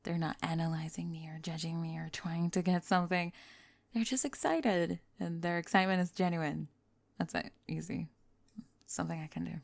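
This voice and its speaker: A woman plays a young female pop star in an intimate audio roleplay. Her voice sits fairly low with a crackly fry, recorded very close and dry. She confides candidly, a little nervous and worn by fame, yet kind and playful, often softening to a murmur. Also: fairly even intonation, normal speed, no echo. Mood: weary, candid. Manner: confiding, hesitant, playful, trailing into soft murmurs. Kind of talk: intimate ASMR roleplay audio, one-sided date conversation.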